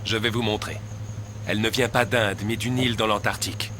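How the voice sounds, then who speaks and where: Courteous scientist, noisy audio. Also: male voice, on the low side, steady tone, brisk, bright, forceful tone. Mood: smooth, persuasive. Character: courteous, persuasive male scientist, a doctor, recounting mysteries. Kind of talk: dubbed video game cutscene acting.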